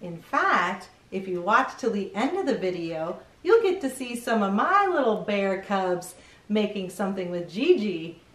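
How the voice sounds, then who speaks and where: A middle-aged woman hosting a children's read-aloud, expressive, reading picture-book lines and chatting to young viewers with questions. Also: mid-range voice, measured pace. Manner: expressive, addressing small children with questions and exclamations. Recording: roomy echo, distant microphone.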